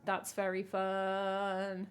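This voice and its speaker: A woman from England with a croaky voice, chatting casually with her live-stream chat as a singer-songwriter and teasing herself as she goes. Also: mid-range voice, completely flat delivery, very slow, music in the background. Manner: chatty, self-teasing, hums melody snippets.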